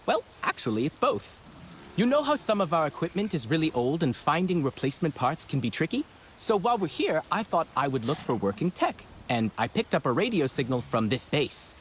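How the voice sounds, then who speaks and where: An actor playing an eager, nervous young scavenger in a game, chatty, hesitant and self-correcting. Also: male voice, fairly high pitch, a bit bright, a little hiss or hum. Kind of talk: naturalistic game dialogue.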